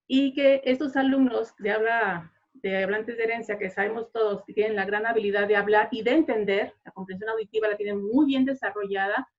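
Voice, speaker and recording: A woman's voice: medium pitch, steady tone, a little fast, lo-fi sound. A woman teacher speaking conversationally at an academic panel, explaining things through personal anecdotes. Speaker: Spanish teacher.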